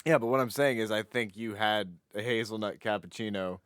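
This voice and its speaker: A man's voice: lowish voice, brisk, fairly bright tone, clear, resonant tone, dry recording. An American man co-hosting a podcast, chatting and bantering casually. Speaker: podcast co-host.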